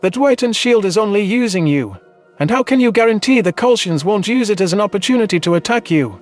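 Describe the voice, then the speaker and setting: A man's voice: high voice, lively intonation, a little fast, soft background music. A man voicing a skeptical, distrustful male alien in a science fiction audio drama, wary and challenging in his delivery.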